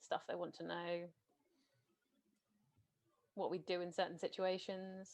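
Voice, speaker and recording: A woman with a somewhat low voice runs a tabletop game over a video call. She talks in a chatty, organising way. Her voice is a little hoarse from a long day of talking. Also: level tone, unhurried, a little mellow. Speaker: game master and host. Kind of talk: casual chat on a video call.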